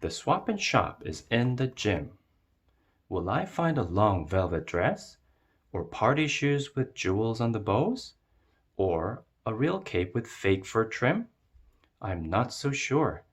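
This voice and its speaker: A man with a low, husky voice reads a children's storybook aloud. He takes his time, and his pitch moves expressively as he narrates. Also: very animated, slow.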